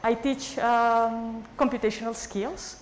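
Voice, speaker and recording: Excited woman lecturer from Colombia. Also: on the high side, slow pace, slight background noise, rough recording. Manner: excited, friendly, laughs. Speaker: guest lecturer. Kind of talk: lecture opening. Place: lecture room.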